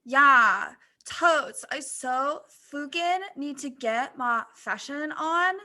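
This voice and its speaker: A sullen, slangy young goth girl, played by a woman for a fan fiction reading. Her voice is bright and a little high, with a drawn-out, whiny delivery and a fashion-obsessed temper. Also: lively intonation, heavily acted. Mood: indignant.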